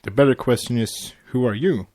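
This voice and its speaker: A man's voice: mid-range voice, a little fast, a little mellow, dry recording, lightly acted. Guy reading a young mare princess in a story, polite with a wry streak.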